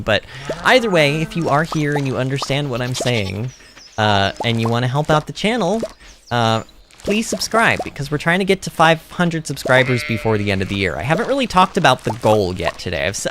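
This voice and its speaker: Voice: medium pitch, background noise. A streamer casually rambling and answering chat during a game livestream, speaking animatedly and emphatically as a native English speaker. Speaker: livestreamer playing a farming game.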